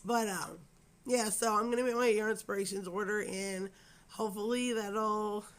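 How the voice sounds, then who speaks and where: Raspy-voiced woman with a cold, chatting. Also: mid-range voice, fairly even intonation, moderate pace. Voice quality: raspy, scratchy. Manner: rambling, chatty, apologetic, with many fillers. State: hoarse from a cold. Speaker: crochet channel host. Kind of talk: casual chat to camera.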